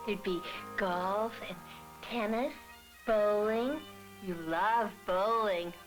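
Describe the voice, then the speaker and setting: An actress playing a sweet, coaxing wife, affectionate and persuasive, in a 1970s educational film. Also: female voice, medium pitch, slow pace, close to an everyday voice, rough recording. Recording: 1970s film soundtrack with music.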